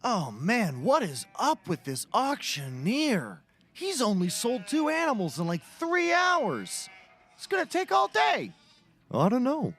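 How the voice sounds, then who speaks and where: A man's voice: very high voice, sing-song, unhurried, a bit bright, soft background music, exaggerated voice. An actor playing a chatty, opinionated male auction-goer in a scripted audio comedy.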